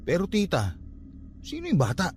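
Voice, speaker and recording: A blunt young man with troubles on his mind in a radio drama. His voice sits on the higher side, with faint music underneath. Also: huge pitch swings, normal speed. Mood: troubled, confused. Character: troubled, once-rebellious young man, blunt but softening.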